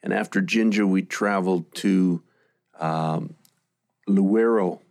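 Deep-voiced podcast host saying amen. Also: male voice, slow, gravelly. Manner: warm, affirming, frequent amens. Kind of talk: interview on a mission trip.

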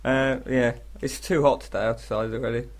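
A man's voice: mid-range voice, steady tone, a little fast. An English man co-hosting a radio show with casual, comedic, grumbling banter in a studio. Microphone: studio microphone.

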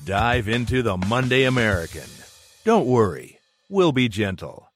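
Lively man reading a podcast promo over music. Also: mid-range voice, a little slow.

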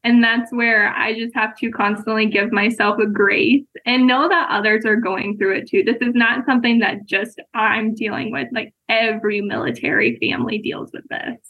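A woman guest in a casual interview, telling lively stories and acting out what people said. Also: fairly high pitch, normal speed, hardly any pauses, husky. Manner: lively storyteller who acts out quoted exchanges. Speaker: podcast guest, military spouse. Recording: tinny phone-like line.

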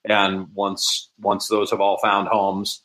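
A man with a fairly low voice talks as a guest in a casual interview. He explains things in a conversational way, like a producer walking someone through his work. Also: completely flat delivery, takes it slowly, a bit bright, poor audio.

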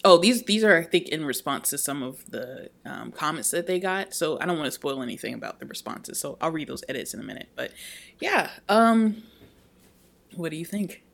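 Woman co-host reading a post, animated and expressive, laughs at her own stumbles. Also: deep voice, moderate pace, gravelly. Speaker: podcast co-host. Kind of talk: reading a post aloud, casual chat.

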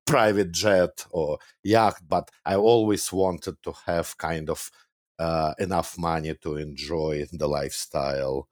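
A man's voice: sits low, fairly even intonation, slow pace, dry recording, clean studio sound. A man in his forties with a Russian accent, chatting modestly as a podcast guest with some hesitation and easy laughs. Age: 40s. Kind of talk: casual podcast interview.

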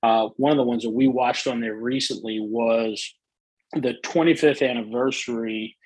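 A middle-aged man talks eagerly in a casual interview, his soothing voice a little husky. The sound is dry and close, with no room behind it. He restarts often and fills pauses. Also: medium pitch, steady tone, darkish tone, no room sound, poor audio. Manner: enthusiastic, many fillers and restarts. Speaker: guest.

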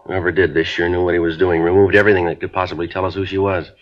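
An actor playing a terse police detective, narrating his case in a clipped, deadpan way on a 1950s radio drama. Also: sits low, racing, close to an everyday voice. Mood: matter-of-fact. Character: terse, matter-of-fact male police sergeant. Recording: dull lo-fi 1950s radio broadcast.

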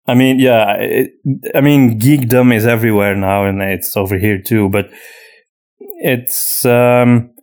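A man from Flanders with a Flemish accent, a native Dutch speaker, explaining things haltingly in casual chat as a podcast host answering listener questions. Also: medium pitch, steady tone, darkish tone. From Flanders, Belgium.

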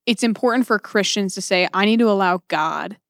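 A woman's voice: mid-range voice, fairly quick, creaky, fairly bright tone, dry recording. Woman co-host in conversation, dry sound. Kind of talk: conversational discussion of a book.

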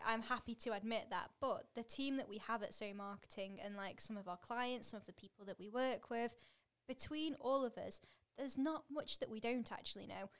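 A woman hosting a marketing podcast, chatty and eager, peppering her talk with "like" and easy laughter. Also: medium pitch. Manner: chatty, lots of "like" fillers, giggly and eager. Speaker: podcast host. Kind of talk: casual podcast interview.

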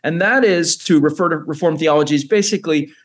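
Measured middle-aged male guest. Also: fairly high pitch. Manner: measured, careful explanation. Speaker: guest, seminary president and author. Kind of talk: podcast interview.

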